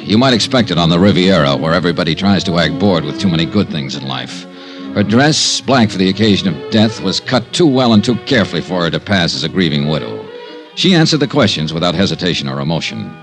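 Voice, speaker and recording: A man's voice: low-pitched, sing-song, fast talker, over music. An American man acting a wry, hard-boiled insurance investigator in a 1950s radio drama, in a clipped, sardonic manner. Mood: dry narration.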